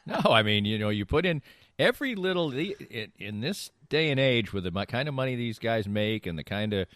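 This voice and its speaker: A man's voice: mid-range voice, very animated, fairly quick, fairly bright tone, dry recording, studio quality. A middle-aged man co-hosting a podcast, explaining things in loose, rambling casual sports chat. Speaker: podcast co-host.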